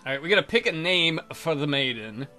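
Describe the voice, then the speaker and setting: A man's voice: on the high side, expressive, brisk, sharp tone, faint music behind. A man hosting a let's play, reading visual novel text aloud and cracking irreverent, crude jokes. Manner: irreverent, crude joking, frequent mock voices. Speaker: let's play video host.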